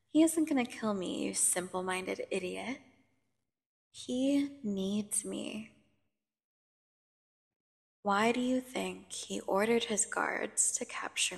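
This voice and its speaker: A woman playing a menacing, seductive vampire prisoner in an ASMR audio drama, taunting and condescending with theatrical pauses. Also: medium pitch, creaky. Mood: mocking, condescending. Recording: long cavernous reverb.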